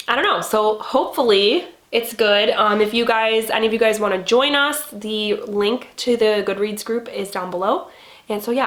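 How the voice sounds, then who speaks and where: A woman's voice: mid-range voice, fairly bright tone, roomy sound. A young woman sounding nasal from a cold, chatting casually and rambling with fillers as a book channel host. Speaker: BookTube host. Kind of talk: casual reading-plans chat.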